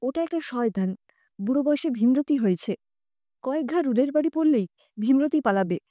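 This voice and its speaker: A stern older aunt played by a woman narrator in an audio story, hurt and righteous. She scolds with emphasis in a mellow voice. The recording is close and dry. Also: high-pitched, lively intonation, normal speed, dry recording. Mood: bitter, furious.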